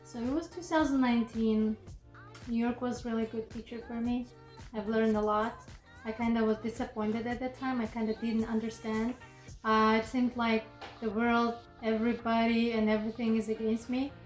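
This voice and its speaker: A woman's voice: a little high, moderate pace, faint music behind. Young woman from Kazakhstan, echoey room. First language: Kazakh or Russian. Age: 20s. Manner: relaxed, thoughtful, frequent fillers and restarts. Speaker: interview guest. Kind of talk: casual interview.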